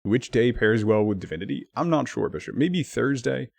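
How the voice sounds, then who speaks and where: A man streaming live, chatting casually with his viewers and thanking them by name, his talk full of lively swings up and down. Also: mid-range voice, normal speed, vocal fry, dry recording.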